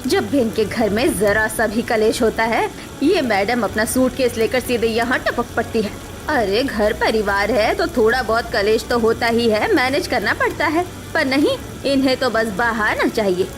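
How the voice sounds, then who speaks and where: A woman's voice: on the high side, animated, moderate pace, noisy background, close to an everyday voice. A woman voicing a resentful, sarcastic housewife in a cartoon story, grumbling about her in-laws. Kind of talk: animated cartoon story acting.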